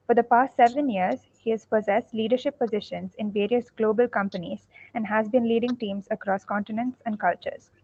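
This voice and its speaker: A woman host reading out a formal speaker introduction in a measured way. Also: on the high side, a little mellow. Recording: dull lo-fi online audio.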